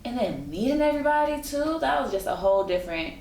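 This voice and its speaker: A woman's voice: on the high side, animated, fast talker, a little mellow. A woman interviewer chatting informally and affirmingly in a casual conversation. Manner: informal, frequently affirming the other speaker. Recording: echoey room, faint background noise.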